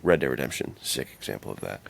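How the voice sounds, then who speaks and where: Male podcast co-host, extremely deep voice with heavy fry, relaxed slangy conversational delivery. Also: quick pace, no room sound. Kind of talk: casual chat about films and games.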